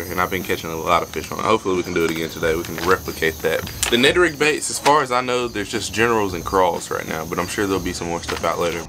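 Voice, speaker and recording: A man's voice: low voice, background noise. A young man talking casually to camera for his fishing vlog, joking and hyped up, outdoors by a pond and then out on a kayak. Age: young adult. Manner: fast, joking, hyped up and chatty. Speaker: fishing vlogger. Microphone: action camera, chest and handheld. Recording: music under the talk.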